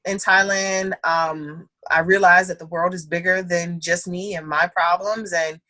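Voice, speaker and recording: Young woman guest, bright voice, slangy and animated. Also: on the low side, measured pace, no room sound. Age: young adult. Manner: animated, laughs often, slangy. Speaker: podcast guest. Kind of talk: casual chat.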